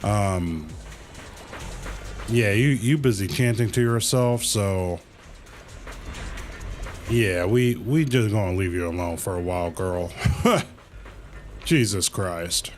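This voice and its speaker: A man with a fairly low voice doing casual live game commentary at an unhurried pace. He thinks aloud haltingly and circles back over his phrases, with faint music in the background. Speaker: livestreamer playing a game.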